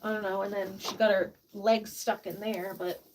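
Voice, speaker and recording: A woman chatting casually and softly during a livestream from a barn, laughing often and cooing baby talk to her chickens. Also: medium pitch, little pitch movement, moderate pace, slight background noise, rough recording. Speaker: vlogger caring for animals.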